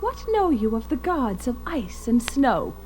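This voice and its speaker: A woman's voice: on the high side, big pitch swings, measured pace, darkish tone. A woman playing a cruel, haughty otherworldly temptress of the snows in a fantasy audio drama, teasing and mocking. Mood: haughty mockery. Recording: faint noise behind.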